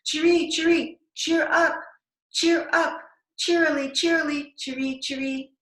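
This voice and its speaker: A woman voicing eager, chirpy robins from a children's storybook in a sing-song, drawn-out call. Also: high-pitched, fairly even intonation, a bit bright, reverberant, pitched above the performer's own voice, poor audio.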